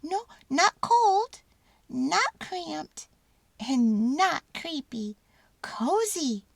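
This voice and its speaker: Woman voicing a squeaky, high-pitched little storybook mouse. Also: drawn-out words, gravelly, no echo, full character voice. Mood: delighted. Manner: exclamatory, expressive, childlike. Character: tiny excitable squeaky male mouse, tender-hearted.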